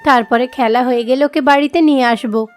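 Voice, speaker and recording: A woman voicing a dutiful village mother in an animated moral story, coaxing and explaining things. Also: high-pitched, a little fast, soft background music, natural voice. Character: dutiful, gently persuasive village mother, middle-aged wife.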